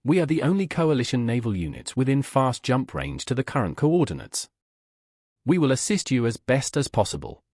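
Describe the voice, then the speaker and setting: A steadfast human warship officer acted out by a male narrator in a space audiobook. He is formal, courteous and defiant in a military way. The voice is husky and lively in pitch, with a dry sound. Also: normal speed, no room sound. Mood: resolute, businesslike. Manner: formal military radio speech.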